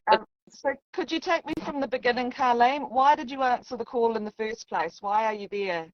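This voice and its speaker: A woman's voice: fairly high pitch, brisk, dry recording. A woman with a New Zealand accent hosting a live-stream interview in an informal, encouraging way. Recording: dull lo-fi live stream audio.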